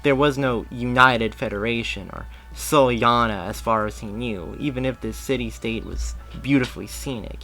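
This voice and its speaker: A man reads a fan-fiction story aloud in a steady voice, now and then stumbling and restarting a phrase. His voice is bright and forceful, at a middling pitch for a man, with faint music behind. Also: creaky. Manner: steady, with small slips and restarts. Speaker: story reader.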